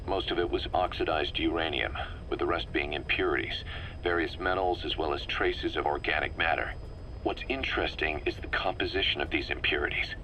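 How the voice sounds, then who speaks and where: An actor playing a serious, methodical middle-aged intelligence officer, giving a measured, analytical briefing in a military video game. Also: male voice, rumbling low, bright, forceful tone, exaggerated voice, low-quality recording. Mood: analytical, intrigued. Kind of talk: scripted briefing, game voice acting. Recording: faint noise on a cassette-tape briefing.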